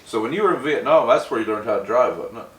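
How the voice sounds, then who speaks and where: A man's voice: mid-range voice, expressive, fast talker. An American man hosting a casual, folksy interview with a friend, chatting informally. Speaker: interviewer, channel host. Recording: roomy, distant mic with faint noise.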